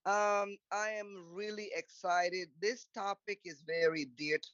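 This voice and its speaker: A woman's voice: medium pitch, measured pace, a bit bright, no echo, distant mic. Woman hosting a muffled video call. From Democratic Republic of Congo. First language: French. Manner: chatty, upbeat, many fillers. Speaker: host. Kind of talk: moderating an online panel.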